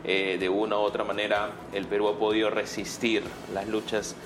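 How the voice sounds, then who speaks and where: Clear background noise surrounds a Peruvian man with a somewhat low voice. He answers as an interview guest in a conversational way. He pauses to find words and often closes a thought with a short tag question. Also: completely flat delivery. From Peru. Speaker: guest, political candidate.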